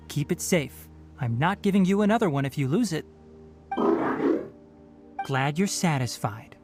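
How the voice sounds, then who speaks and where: A man voicing a calm, stern young male forest ranger in a game, speaking in a measured, matter-of-fact, slightly dry way. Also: fairly high pitch. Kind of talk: game dialogue voice acting. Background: soft game music.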